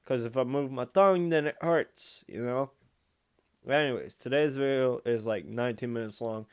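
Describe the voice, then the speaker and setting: A man's voice: mid-range voice, moderate pace, clear, resonant tone. A young man hosting a reaction video, chatting casually to camera while speaking stiffly through a sore tongue. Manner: chatty, laid-back.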